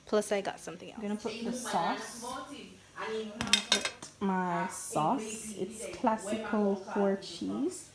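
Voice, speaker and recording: A woman's voice: mid-range voice, measured pace, a loud crowd. A young woman with a Jamaican accent chatting casually to her vlog audience, recorded on a handheld phone. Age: young adult. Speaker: vlogger. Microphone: phone at arm's length. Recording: handheld phone vlog, loud background voices.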